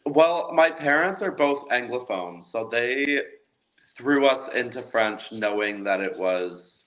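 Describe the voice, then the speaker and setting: A man's voice: medium pitch, expressive, slow, fairly bright tone, rough recording. A Canadian man chatting casually as a guest, easygoing and laughing lightly now and then. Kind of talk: casual interview chat.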